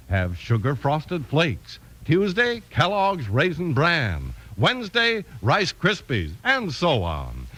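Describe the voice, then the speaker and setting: A man's voice: fairly high pitch, a bit bright. A man working as a 1950s radio announcer, reading scripted copy with a polished, emphatic salesman's delivery. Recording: old radio transcription. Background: music.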